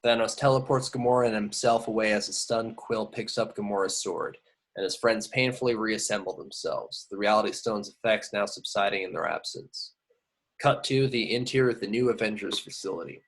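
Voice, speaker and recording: A man with a fairly low voice narrates stage directions. It is a scripted read, delivered as part of an amateur table read. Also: a little fast.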